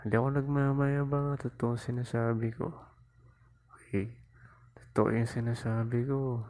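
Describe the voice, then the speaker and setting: A man's voice: mid-range voice, level tone, moderate pace, darkish tone, lightly acted. A man playing a cocky, teasing young boyfriend in an intimate ASMR roleplay, with a Filipino accent and a playful, coaxing manner. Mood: cocky, boastful. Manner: playful, coaxing, chuckling, mock-whining. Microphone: lapel mic into mobile phone, close.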